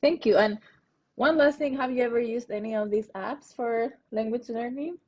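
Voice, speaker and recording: A woman's voice: a little high, moderate pace, darkish tone, husky. A woman interviewing a guest for a podcast, asking brief polite questions. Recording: dull lo-fi sound.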